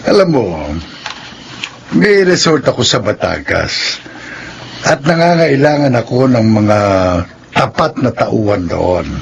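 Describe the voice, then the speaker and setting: An actor playing a generous but stern resort owner in a radio drama, with an authoritative manner. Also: male voice, medium pitch, sing-song, slow pace, gravelly, long pauses, heavily acted. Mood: genial, persuasive. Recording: faint background noise.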